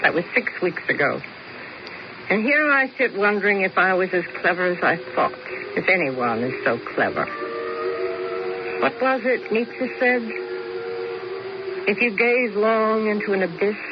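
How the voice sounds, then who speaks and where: An actress playing a cool, commanding schemer who narrates in a deliberate, theatrical 1970s radio drama style. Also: female voice, on the low side, big pitch swings. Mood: brooding. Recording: dull, noisy 1970s radio recording.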